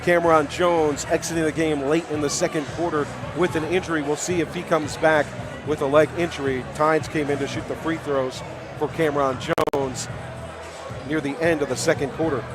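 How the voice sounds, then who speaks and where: A male sports announcer with a fairly high voice works a live basketball broadcast. He projects in an upbeat, chatty way, moving between play-by-play and game summary from a high school gym. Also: flat delivery, normal speed.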